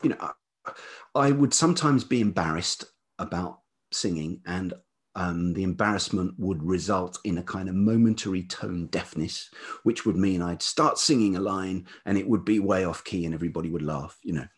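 English man, middle-aged, very low pitch, velvety with husky edge, thoughtful, hesitant, reflective conversation. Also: moderate pace. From England. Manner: hesitant, thoughtful, repeats words while searching. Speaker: podcast guest, writer and musician.

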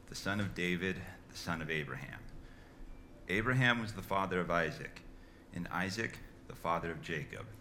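A man reading scripture aloud in a measured way, phrase by phrase. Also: low voice, bright, forceful tone, a little hiss or hum. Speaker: scripture reader.

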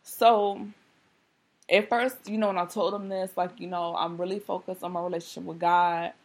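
A woman in her thirties hosting a podcast, talking candidly and casually with a slightly hoarse voice. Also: lowish voice, little pitch movement, moderate pace. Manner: candid, conversational, many fillers. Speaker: podcast host. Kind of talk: casual monologue.

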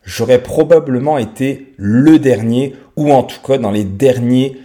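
A man's voice: mid-range voice, measured pace, warm tone. A man in his thirties with a French accent, talking straight to the listener in an informal, unscripted podcast monologue. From France. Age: 30s. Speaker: podcast host.

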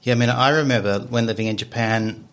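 Medium-pitched man hosting an interview. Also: steady tone, fairly quick, a bit bright. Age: early 50s. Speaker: podcast host. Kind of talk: interview conversation.

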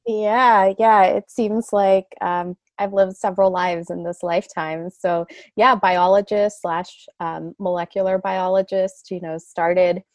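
A woman's voice: medium pitch, flat delivery, a little slow, few pauses, a little mellow, no echo. A middle-aged woman from Canada, a guest on a podcast, speaking earnestly and reflectively in long flowing sentences. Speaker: guest, coach. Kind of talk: podcast interview.

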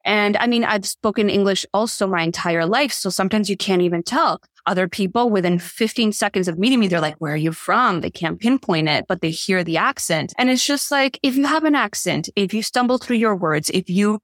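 Female podcast guest, native Spanish speaker, informal and animated coach talk, mid-pitched voice, close dry recording. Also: brisk, few pauses, no room sound. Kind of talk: casual interview conversation.

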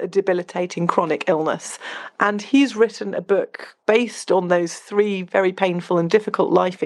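A woman with a gently low-pitched voice speaks as a guest recommending reading. Her delivery is measured and reflective. She phrases her thoughts articulately, like a considered personal review. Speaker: podcast guest, healthcare professional reviewing books. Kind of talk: spoken personal book recommendation.

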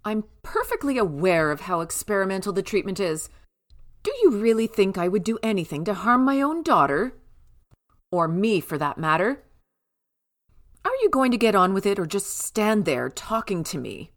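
A woman's voice: mid-range voice, sing-song, moderate pace. An actress playing a haughty, impatient mother who demands that a doctor get on with a treatment, in an audio drama. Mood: indignant.

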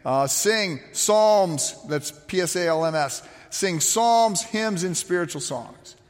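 A man preaching in a big hall, his voice a touch high for a man and raspy whenever he drops to a softer level. He tells his points as lively stories, stopping and restarting often. The sound rings with a long hall echo. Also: slow. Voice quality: raspy when speaking quietly. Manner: animated storytelling with frequent restarts and fillers. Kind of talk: sermon.

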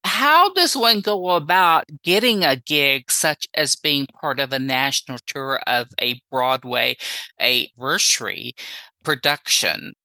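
A woman interviewing a guest, asking measured questions and offering praise. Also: extremely low pitch, expressive, pushed and bright, no echo.

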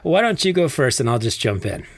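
A man's voice: mid-range voice, big pitch swings, brisk, no room sound. A man with a Texas accent co-hosting a faith podcast, chatting in an easygoing, rambling way. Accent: Texan. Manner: easygoing, rambling, laughs easily. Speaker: podcast co-host. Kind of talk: casual podcast chat.

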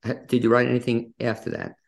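A man's voice: medium pitch, little pitch movement, quick pace, gravelly. A man hosting an interview podcast over a video call, addressing listeners and his guest. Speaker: podcast host. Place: remote video call from his own studio.

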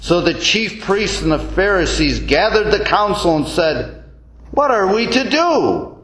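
Slow, expressive man reading scripture. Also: high-pitched. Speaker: deacon reading the Gospel. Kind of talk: solemn scripture reading in church. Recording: roomy, echoing church-like sound.

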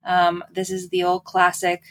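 A woman's voice: fairly low pitch, monotone, measured pace. A woman co-hosting a podcast, casually recapping a book with wry, sarcastic asides. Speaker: podcast co-host.

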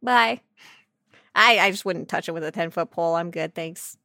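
Bright, silly woman podcaster bantering. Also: fairly low pitch, normal speed, no echo, clean studio sound. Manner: silly, joking. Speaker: podcast co-host. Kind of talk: casual playful banter.

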